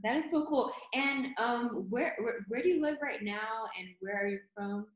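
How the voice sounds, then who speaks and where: Excitable woman host, says like a lot, kinda echoey room. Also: on the high side, moderate pace. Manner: enthusiastic, many "like" fillers. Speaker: interviewer, podcast host. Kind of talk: casual interview talk.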